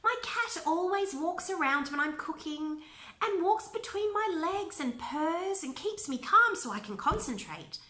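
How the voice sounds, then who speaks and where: A woman's voice: very high-pitched, lively intonation, unhurried, pushed and bright, reverberant, voice pushed up, heavily acted. A woman voicing a fussy young woman baker who complains insistently, in a storybook reading. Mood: fretful.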